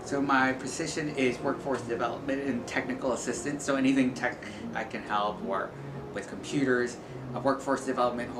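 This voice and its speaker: A man's voice: medium pitch, steady tone, fairly quick, noisy background. A man giving informal, candid interview answers in a hesitant, rephrasing way. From El Salvador until age seven, then New York state, US. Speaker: community center workforce and tech staffer, interviewee. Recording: echoey room with loud music bed. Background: loud music.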